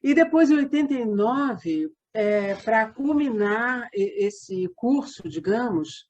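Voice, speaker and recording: A woman with a Brazilian Portuguese accent, an interview guest explaining conversationally, with halting digressions. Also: a little high, lively intonation, a little mellow, muffled recording. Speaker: interview guest, theatre company member. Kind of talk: conversational storytelling.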